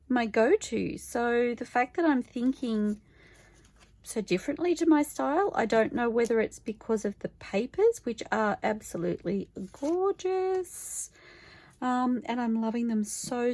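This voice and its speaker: A woman with a croaky voice, chatting casually to camera about her craft project, thinking aloud and correcting herself as she goes. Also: fairly high pitch, a little mellow, dry recording. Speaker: crafter vlogging her junk journal project. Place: home craft table, filmed from overhead.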